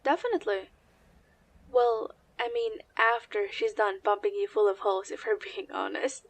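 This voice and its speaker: A woman's voice: medium pitch, normal speed, lightly acted. A woman playing a frank, teasing young senior comrade in an anime-style audio drama, speaking casually and conversationally. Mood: wry, teasing.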